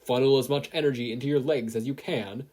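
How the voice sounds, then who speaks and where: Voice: medium pitch, fairly even intonation, brisk, darkish tone, natural voice. A performer voicing an earnest older male hero mentor who gives emphatic instructions in an audio story. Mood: instructing.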